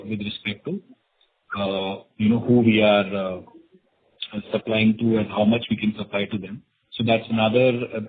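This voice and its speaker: A man with an Indian accent answering as a company executive on an earnings call, measured and halting, pausing often between phrases. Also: lowish voice. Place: conference call. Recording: narrow, tinny conference phone line.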